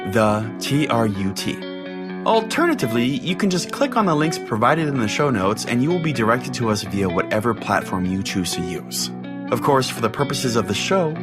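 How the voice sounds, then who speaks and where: A man hosting a podcast, reading a scripted sign-off in a wry, deadpan way. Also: medium pitch. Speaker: podcast host. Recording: music bed under the voice. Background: plucked guitar music.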